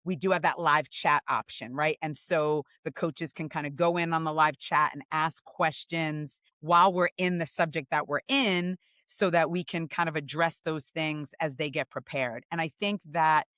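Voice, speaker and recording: A woman's voice: low-pitched, steady tone, moderate pace, hardly any pauses, fairly bright tone, no echo, studio quality. Bubbly woman podcast co-host. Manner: upbeat, bubbly, playful. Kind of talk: casual podcast chat.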